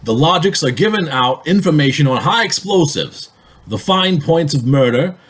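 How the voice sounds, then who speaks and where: A man's voice: on the high side, lively intonation, normal speed, fairly bright tone, breathy edge. A man playing a harried, short-tempered boss in an old-time radio drama, barking orders in exasperation. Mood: exasperated.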